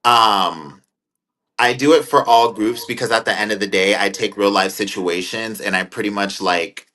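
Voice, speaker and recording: A man's voice: on the low side, normal speed, bright, forceful tone. A man in his twenties talking casually as an interview guest, rambling and thoughtful with many fillers. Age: 20s. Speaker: guest content creator.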